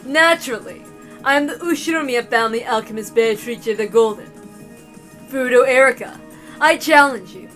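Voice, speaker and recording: A haughty golden witch from a visual novel, performed by a woman. She declaims in a fairly high voice with grand, defiant confidence. Music plays faintly underneath. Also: moderate pace, a bit bright, close to an everyday voice, rough recording. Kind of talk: dramatic visual novel character reading.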